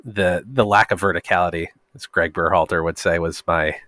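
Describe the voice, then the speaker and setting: A man's voice: sits low, fairly even intonation, normal speed, bright, forceful tone, no echo, studio quality. A man hosting a podcast, asking questions in a jokey, rambling way with many fillers. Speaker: podcast host. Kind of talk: casual podcast interviewing.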